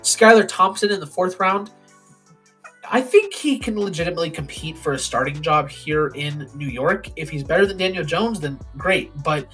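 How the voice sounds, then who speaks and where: A young man giving casual, opinionated football draft commentary, his voice hoarse and raspy. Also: fairly high pitch, expressive, moderate pace, fairly bright tone, soft background music. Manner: opinionated, plain-spoken with frequent ums.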